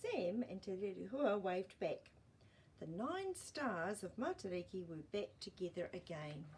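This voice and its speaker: A woman's voice: medium pitch, big pitch swings, measured pace, darkish tone, slight background noise. A woman reading a children's story expressively, with playful asides to listeners.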